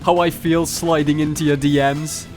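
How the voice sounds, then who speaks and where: A man's voice: on the high side, flat delivery, moderate pace, music in the background. A man narrating a video game challenge run as scripted commentary, playful and mock-grandiose with jokey asides.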